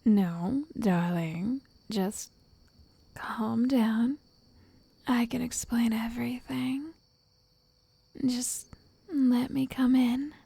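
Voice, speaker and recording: A woman voicing an obsessive, lovestruck witch in an ASMR roleplay, soft and whispery, coaxing with possessive endearments. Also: fairly high pitch, very slow, vocal fry, long pauses, breathy edge, no echo, exaggerated voice. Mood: insistent.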